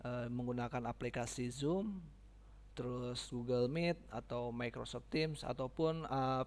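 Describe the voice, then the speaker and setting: A man giving a casual, informal step-by-step software tutorial, often checking in with his listeners. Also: mid-range voice.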